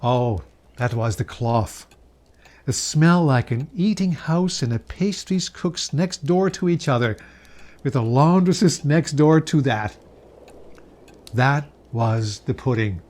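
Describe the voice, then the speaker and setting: Slow, theatrical man reading a story aloud. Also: mid-range voice. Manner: theatrical, savoring, with expressive pauses and exclamations. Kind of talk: dramatic reading of a Victorian Christmas story.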